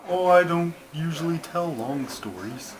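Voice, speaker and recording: Slow, rambling turtle storyteller played by a man. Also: fairly high pitch, expressive. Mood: reassuring, earnest. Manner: halting, drawn-out, earnest. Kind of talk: comic puppet skit storytelling. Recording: clearly noisy home recording.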